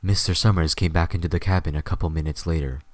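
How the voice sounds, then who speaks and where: A man's voice: sits low, steady tone, quick pace, dry recording. A man reading scripted story narration as the narrator of an audio drama.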